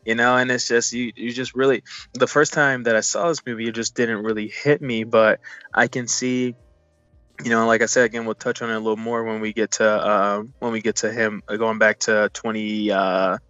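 A man with a lowish, very even voice chats casually about a film as co-host. He repeats himself and pads his sentences with filler. The recording is dry and a little dull. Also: normal speed, no echo. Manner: repetitive, filler-heavy, says "you know" often. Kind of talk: casual movie review chat.

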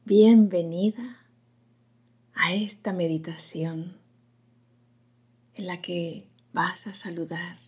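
Female meditation guide, lowish pitch, extremely breathy near-whisper, soft and soothing phrasing. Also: fairly even intonation. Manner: slow, hushed delivery in short phrases with long pauses.

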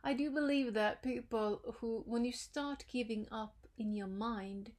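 A woman with a Norwegian accent hosting her own podcast, talking in a thoughtful, unhurried way with many pauses and fillers. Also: fairly high pitch, a little mellow. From Norway. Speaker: podcast host. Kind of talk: solo reflective podcast talk.

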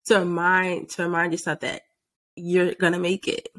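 A woman's voice: on the low side, monotone, normal speed, a bit bright. A young woman hosting a casual interview, chatty and affirming, scattering fillers through her questions. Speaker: interviewer host.

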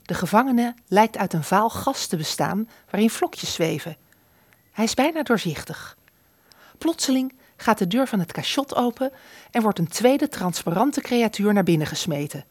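A woman narrates a radio play as a scripted storyteller, setting out scenes. Her voice has an average pitch and is recorded very dry. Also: big pitch swings, normal speed, a little mellow, dry recording.

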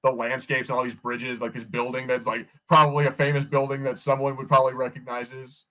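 Fast-talking American man. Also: medium pitch, level tone, sharp tone, poor audio. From United States. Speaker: podcast co-host. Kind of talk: casual film and TV review chat.

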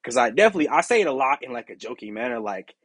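A young man with a deep, raspy voice hosting his own podcast, talking in a candid, rambling way with lots of "you know" and "like". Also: very animated, racing, fairly bright tone, no echo. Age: young adult. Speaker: podcast host. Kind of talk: casual solo podcast monologue.

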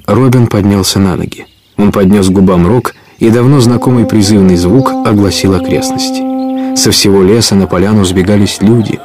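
A man narrating an adventure audio play in a scripted storytelling style. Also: on the low side.

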